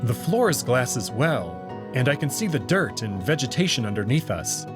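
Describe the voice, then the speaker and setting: A man's voice: mid-range voice, sing-song, normal speed, music in the background. A man giving scripted first-person narration for a visual novel story.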